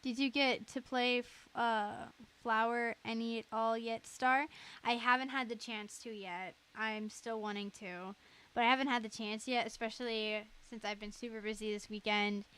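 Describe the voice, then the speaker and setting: A woman's voice: a little high, steady tone, normal speed, fairly bright tone, clear, resonant tone. A woman chatting casually on a game livestream in a giggly way, reading out chat as she goes. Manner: giggly, reads chat aloud. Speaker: game streamer.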